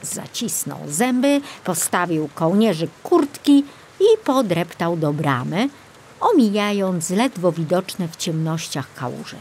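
A woman reading a children's audiobook as narrator, in an expressive storytelling manner. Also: lowish voice, slow pace, slightly rough.

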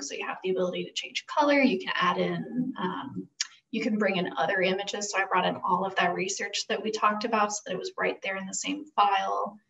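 A woman's voice: medium pitch, gravelly, a bit bright, recorded from a distance, poor audio. A young woman explaining her work conversationally as a guest on a video call. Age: young adult. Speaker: guest, costume designer.

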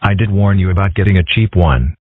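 A man's voice: sits low, fairly quick, phone-quality sound. A man voicing a polite, earnest older townsman in a cartoon comic dub, with a reserved manner. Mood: concerned. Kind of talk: comic dub character acting.

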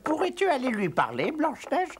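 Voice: high voice, huge pitch swings, brisk, background noise. An actor voicing a concerned, mild-mannered dwarf in a French cartoon dub, hesitant in manner. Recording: music under the voice.

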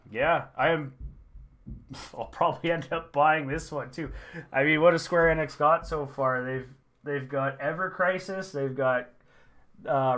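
A man's voice: medium pitch, a bit bright. A young man casually reacting to a game trailer from his gaming room, thinking aloud with fillers. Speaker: reaction streamer. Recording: game trailer music underneath. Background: trailer music.

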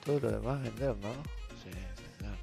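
Hoarse, gravelly young Argentine man streaming. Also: lowish voice, normal speed, over music. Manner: slangy, offhand. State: hoarse from shouting. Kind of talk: casual gaming video commentary. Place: small room at home, indoors.

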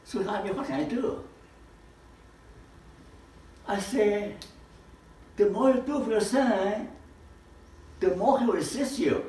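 Older guy lecturing in his living room, kinda high voice, faint room hum in the back. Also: takes it slowly, pauses often. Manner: animated, gestures broadly, asks rhetorical questions. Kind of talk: informal teaching talk. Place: living room with projector screen. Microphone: far camera. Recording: echoey room from far off.

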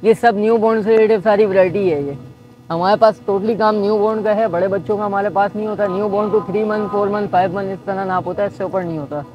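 Soft-spoken shopkeeper listing prices. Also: male voice, high-pitched, steady tone, fairly quick, dull audio, a little hiss or hum. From Pakistan. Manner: patient, listing items one by one. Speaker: shop owner. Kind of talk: showing goods and quoting prices. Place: busy market shop indoors.

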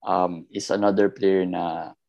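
A man's voice: low voice, measured pace, vocal fry, no room sound, lo-fi sound. A young Filipino man co-hosting a fantasy basketball show over a video call, talking casually and mixing Tagalog with English. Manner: relaxed, code-switching Tagalog and English. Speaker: fantasy basketball podcast co-host. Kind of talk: casual chat.

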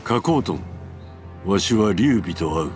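Male game role: commanding middle-aged ancient Chinese warlord, deep and deliberate, music underneath. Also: expressive, a little mellow. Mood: calm, decisive. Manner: measured, imperious, archaic lordly speech. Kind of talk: Japanese video game cutscene acting.

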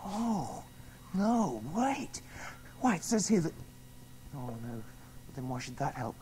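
A man's voice: a little high, sing-song, takes it slowly, dark tone. An actor playing an earnest, optimistic young male adventurer in a radio drama, eager and reassuring. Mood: excited, thoughtful. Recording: faintly noisy radio drama soundscape.

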